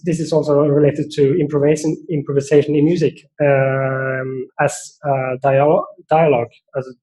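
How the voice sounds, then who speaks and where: A man's voice: a little high, dark tone, rough recording. A man with a Norwegian accent giving an academic seminar talk, thoughtful and hesitant, with many fillers and restarts. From Norway. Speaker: seminar speaker.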